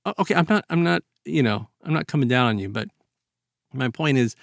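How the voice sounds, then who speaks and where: A man's voice: medium pitch, animated, brisk, gravelly, no room sound, studio quality. A man speaking as a podcast host in an earnest, emphatic way, talking through ideas conversationally. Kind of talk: conversational discussion of a story's moral.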